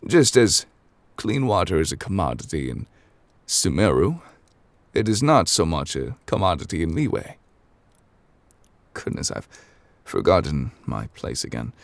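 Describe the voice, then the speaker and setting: A calm, scholarly ancient god, as a man puts him on for an ASMR roleplay. He talks with very deep, gravelly gravitas and unhurried, formal phrasing. The voice stays close to the microphone and sometimes drops to soft near whispers, in a dry room. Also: no room sound, full character voice. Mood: gently amused. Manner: formal, measured, old-fashioned phrasing.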